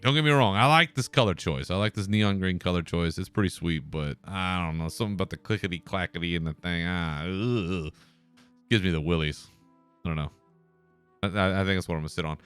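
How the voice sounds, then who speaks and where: Brief: male podcast host, smooth clear voice, relaxed solo chat, swears casually. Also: low-pitched, no echo. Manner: laid-back, swears, chuckles.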